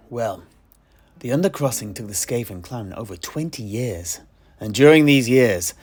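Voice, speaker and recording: A man playing a genial tavern keeper and amateur historian in an audio play, telling his tale in a deliberate, theatrical way with scholarly asides. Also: on the low side, vocal fry. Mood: chatty, wry. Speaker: storyteller and host of an audio play. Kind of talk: in-universe scripted storytelling to tavern listeners.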